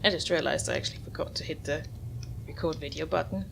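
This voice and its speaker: Creaky-voiced woman doing gameplay commentary. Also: low voice, fast talker, noisy background. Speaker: gamer, host of the video series. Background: soft game music.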